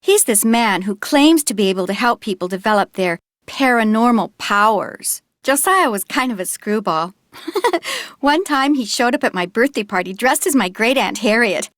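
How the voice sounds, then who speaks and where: A woman's voice: a little high, animated, moderate pace, no room sound, clean studio sound, lightly acted. A young woman actor playing an anxious, earnest innkeeper in a video game, with a fretful, confiding manner. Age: young adult.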